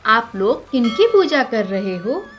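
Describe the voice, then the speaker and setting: A woman voicing a gentle, devout young wife in a storybook tale. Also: fairly high pitch, big pitch swings, takes it slowly, dull audio, soft background music, heavily acted. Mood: curious.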